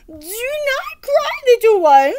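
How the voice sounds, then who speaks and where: A woman's voice: very high-pitched, sing-song, takes it slowly, noisy background, voice pushed up, exaggerated voice. A woman voicing a pompous, gallant male knight with courtly, declamatory phrasing in a children's storybook. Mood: pleading.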